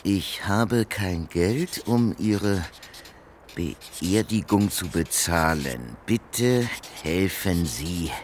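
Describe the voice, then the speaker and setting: Slow, theatrical streetwise beggar. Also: male voice, deep voice, expressive, a little hiss or hum, exaggerated voice. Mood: rehearsed pleading. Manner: theatrical, colloquial, rambling. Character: talkative streetwise homeless beggar, wheedling and sly. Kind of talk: German radio crime drama acting.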